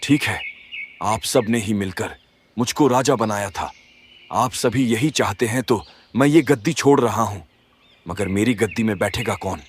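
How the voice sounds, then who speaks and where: A man voicing an aging lion king in a cartoon fable, trusting and dignified, speaking in a measured, earnest way. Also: fairly low pitch, breathy edge. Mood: resigned, dignified. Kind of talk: Hindi animated moral story dialogue.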